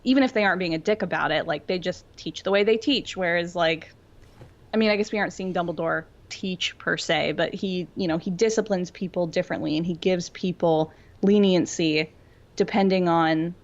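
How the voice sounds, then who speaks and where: A woman's voice: lowish voice, moderate pace. A woman co-hosting a podcast, explaining her thoughts in a casual, reflective way on slightly lo-fi audio. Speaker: podcast co-host. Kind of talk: casual pop-culture discussion.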